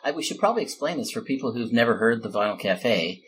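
A man's voice: mid-range voice, animated, fast, a little hiss or hum, poor audio. A man hosting a loose, unscripted conversation in a joking, interjecting manner. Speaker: podcast host.

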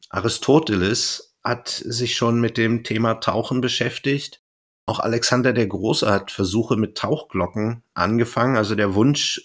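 A man's voice: on the low side, fairly bright tone. A man chatting casually as a podcast co-host.

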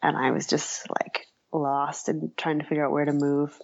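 Female writer as interview guest: low register with vocal fry, thoughtful and halting, conversational delivery, muffled recording. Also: level tone, dry recording. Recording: dull, lo-fi, dry audio.

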